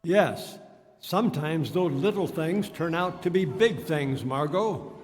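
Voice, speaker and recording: A man playing a composed, concerned gentleman amateur detective in old-time radio drama style. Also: on the high side, expressive, slow pace. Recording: echoey hall.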